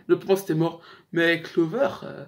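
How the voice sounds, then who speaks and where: A man's voice: a little high, fairly even intonation, unhurried, vocal fry, a little hiss or hum. A young man hosting a casual YouTube countdown, talking in a slangy, joking, rambling way. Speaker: YouTube host. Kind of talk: casual chat to camera, countdown list.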